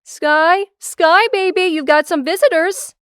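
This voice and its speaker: A woman's voice: extremely high pitch, unhurried, fairly bright tone, no room sound, clean studio sound. A woman playing a gushing, insistent, protective mother of a teenager in an audio drama. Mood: motherly.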